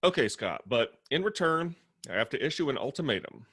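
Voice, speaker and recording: Actor as sardonic roommate, theatrically animated man. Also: mid-range voice, fairly quick, slightly rough. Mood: bossy. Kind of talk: table read of a comedy screenplay.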